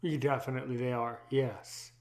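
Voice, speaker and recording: A man hosting a casual interview, speaking haltingly and often repeating his words. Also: mid-range voice, level tone, darkish tone. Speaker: podcast host.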